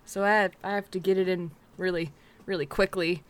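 A woman's voice: fairly low pitch, fairly even intonation, moderate pace. A woman co-hosting a casual podcast, joking, giggling and trailing off mid-thought. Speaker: podcast co-host. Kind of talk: casual chat.

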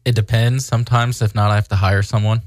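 A man's voice: on the low side, flat delivery, quick pace, dry recording. A man in a radio studio, a real estate agent appearing as a guest and giving short, agreeable answers. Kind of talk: casual radio conversation.

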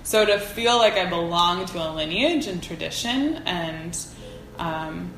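A woman's voice: on the low side, expressive, measured pace, a bit bright, roomy sound, a little hiss or hum, poor audio. A woman talking casually and thoughtfully in an interview, searching for her words as she goes. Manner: thoughtful, informal, searching for words in long winding sentences. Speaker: interview guest, folk herbalism teacher. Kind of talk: casual reflective interview talk.

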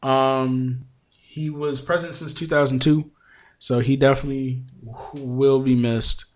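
A man's voice: mid-range voice, steady tone, a little slow. A man hosting a podcast, talking casually and informally in colloquial slang. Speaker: podcast host.

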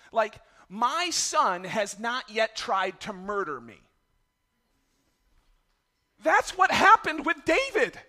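A middle-aged man preaching a sermon as pastor, his voice raspy from yelling, candid and self-deprecating about his family struggles. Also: extremely high pitch.

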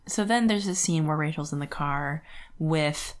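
Low-voiced woman chatting casually. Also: normal speed. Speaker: podcast co-host. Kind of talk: casual book discussion chat.

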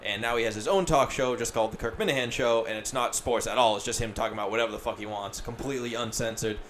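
A man's voice: mid-range voice, quick pace, a bit bright, a little hiss or hum. Rambling, profane male podcast host. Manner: profane, rambling, filler-heavy. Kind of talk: casual chat.

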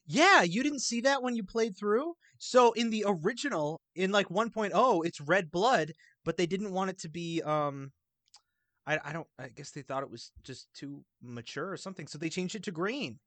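A man's voice: sits high, expressive, normal speed, fairly bright tone, no room sound. A man chatting as a podcast guest, explaining things in a loose, hesitant way that often trails off. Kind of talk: casual podcast chat.

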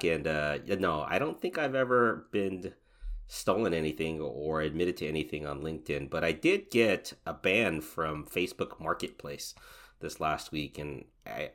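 Relaxed deep-voiced co-host. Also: male voice. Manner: relaxed, hesitant with fillers. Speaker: podcast co-host. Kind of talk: casual chat.

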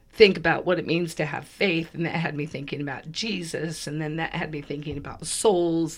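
A woman co-hosting a podcast, hoarse and raspy from laryngitis, thinking aloud in a rambling, casual way. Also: low voice. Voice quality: raspy, froggy voice. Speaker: podcast co-host. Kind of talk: casual conversation.

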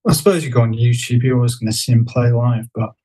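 A man's voice: mid-range voice, level tone, fast, dark tone. Man musing hesitantly in casual conversation. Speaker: podcast guest, graphic designer. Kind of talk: casual chat.

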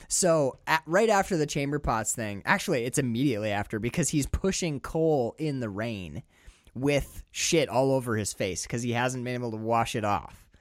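Excitable, swearing podcast man with a lively pitch. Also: no room sound, clean studio sound. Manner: excitable, swears freely, voice jumps high when excited; laughs often mid-sentence. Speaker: podcast co-host. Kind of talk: casual film-discussion chat.

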